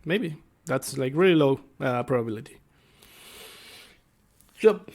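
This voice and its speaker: Guy doing a casual gaming vlog, talks slow and kinda gravelly, keeps hunting for words. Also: mid-range voice, animated, darkish tone. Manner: halting, searching for words. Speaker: tabletop game master and video host. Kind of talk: casual unscripted explainer vlog.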